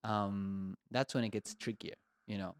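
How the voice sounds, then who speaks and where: A man with a fairly low voice, recorded dry and close, muses aloud as a podcast co-host. He is from Argentina and talks in a loose, thoughtful ramble. He leans on "like" and "you know" as he works through his ideas. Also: steady tone, a little slow, no echo. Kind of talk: casual conversation.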